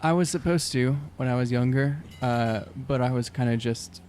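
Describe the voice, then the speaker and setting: A man playing a tabletop game, improvising in a casual, halting way as he searches for words. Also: medium pitch, a little mellow. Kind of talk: casual improvised role-play chat.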